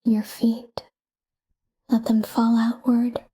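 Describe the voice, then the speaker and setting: A woman guiding a sleep meditation in a calm, hushed, breathy whisper, soothing and soft. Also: on the high side, slow.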